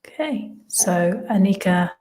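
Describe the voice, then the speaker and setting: Slow-speaking English woman teacher. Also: mid-range voice, expressive, mellow. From Midlands of England. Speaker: English teacher, webinar co-host. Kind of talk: simplified, clearly phrased online lesson talk.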